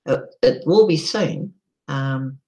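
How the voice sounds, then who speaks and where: A middle-aged woman with a croaky voice, hosting a casual solo live stream, chatty and unhurried with frequent ums. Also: a bass voice, animated, dark tone, low-quality recording.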